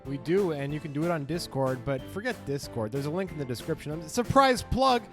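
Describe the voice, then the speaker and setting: A man's voice: on the high side, animated, brisk, music in the background. A man co-hosting a poker show, analysing hands casually with wry, dry asides. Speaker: poker podcast co-host. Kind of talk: casual hand analysis commentary.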